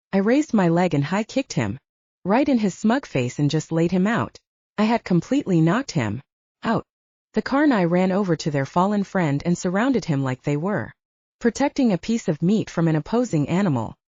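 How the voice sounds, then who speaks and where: A woman's voice: fairly low pitch, mellow, no echo. A woman narrating a scripted first-person story as an audiobook narrator, in a slightly dull recording.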